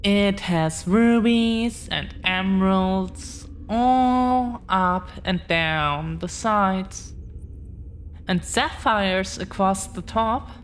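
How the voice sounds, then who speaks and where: Woman acting affectionate proud dragon girlfriend, intimate roleplay audio, super slow and teasing, noticeable noise behind her. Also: medium pitch. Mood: proud, bragging. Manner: slow, unhurried, teasing, with long pauses. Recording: clear background noise.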